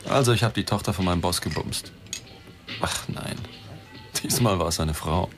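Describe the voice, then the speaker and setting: An actor playing a drunken, desperate, cynical reporter in a German radio drama, rambling and blunt. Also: male voice, sits low, moderate pace. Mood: drunk, self-pitying. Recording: studio radio play with loud music behind.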